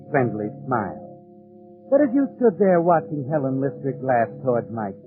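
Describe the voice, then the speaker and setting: A man plays an ominous, all-knowing radio suspense narrator, insinuating and dramatic. His voice is medium-pitched, set over prominent music on a thin, tinny 1940s recording. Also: lightly acted. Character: sinister, knowing narrator addressing the schemer as his conscience.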